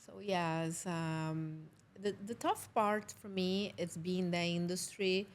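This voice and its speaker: A Portuguese-speaking woman from Brazil with a Brazilian accent, a film producer talking casually in a podcast interview and pausing to search for English words. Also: lowish voice, steady tone. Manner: halting, searching for English words, laughs often.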